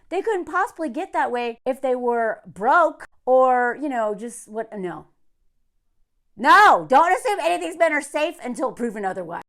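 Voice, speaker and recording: High, animated American woman ranting casually. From southern United States. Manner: emphatic, sardonic, laughing often. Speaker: online content creator. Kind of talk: casual rant to camera.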